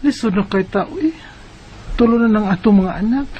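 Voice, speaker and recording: A woman's voice: mid-range voice, moderate pace, slightly rough, close to an everyday voice. An actress playing a weary, determined young mother who pleads and insists in a radio drama. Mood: pleading. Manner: insistent, persuading. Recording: dull lo-fi radio drama recording.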